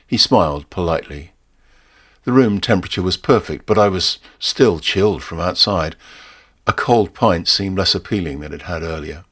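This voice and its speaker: Low-voiced British man narrating a story. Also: normal speed, fairly bright tone, breathy edge. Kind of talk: scripted first-person story narration.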